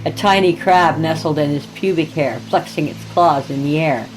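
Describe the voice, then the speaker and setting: A woman's voice: deep voice, normal speed, music in the background, rough recording. A woman hosting a horror fiction podcast, reading scripted introductions and story narration.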